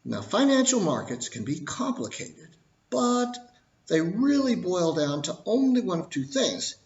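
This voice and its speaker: A man narrating an explainer in the style of a fairy tale, with droll asides and simple, deliberate explanations. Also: high voice, very animated, darkish tone. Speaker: storyteller explaining history and finance. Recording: dull, roomy, echoing sound.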